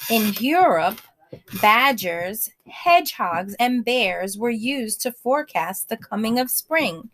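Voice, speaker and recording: Unhurried woman reading aloud to children. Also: medium pitch. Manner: expressive, pauses to ask listeners questions. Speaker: read-aloud storyteller for young children. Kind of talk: picture-book read-aloud with conversational asides.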